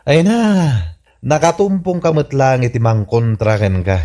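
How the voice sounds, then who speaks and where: A man's voice: mid-range voice, measured pace, warm tone, lightly acted. A man acting a teasing, exasperated husband in an Ilocano radio comedy-drama, mocking and nagging in an Ilocano Filipino accent. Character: teasing, exasperated husband who needles his bossy wife. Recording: music under the voice.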